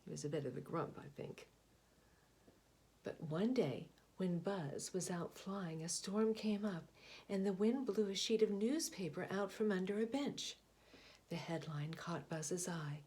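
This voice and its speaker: A woman reading a picture book aloud, expressive, often dropping to a hushed whisper. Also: fairly low pitch. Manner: expressive, slow, hushed asides. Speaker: storybook reader.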